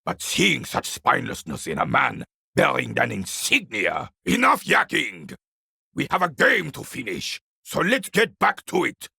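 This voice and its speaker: Gravelly, bitter old war veteran. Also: male voice, medium pitch, wildly swooping pitch, measured pace, bright, forceful tone, no room sound, clean studio sound, full character voice. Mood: scolding. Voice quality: gravelly, raspy. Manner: gruff, scornful, theatrical, barking at younger men. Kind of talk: video game character dialogue.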